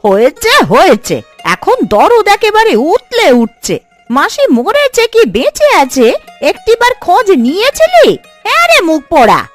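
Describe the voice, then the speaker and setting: A woman's voice: very high voice, huge pitch swings, moderate pace, faint music behind, heavily acted. A woman voicing a bossy, affectionate elderly village aunt in a cartoon, with an emphatic, scolding, colloquial manner. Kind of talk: Bengali cartoon story dubbing.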